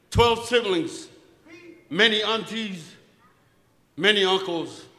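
A man in his thirties with a Tongan accent, giving a joking personal eulogy on a handheld mic in a large banquet hall. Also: on the high side. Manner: warm joking storyteller, laughs between lines. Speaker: eulogy speaker. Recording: echoey banquet hall with long reverb.

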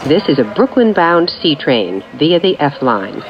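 A woman reading scripted automated subway announcements in measured, clearly separated phrases. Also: deep voice, sing-song. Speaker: transit announcer. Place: recorded off speakers inside a subway train. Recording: muffled capture with train noise. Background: train ambience.

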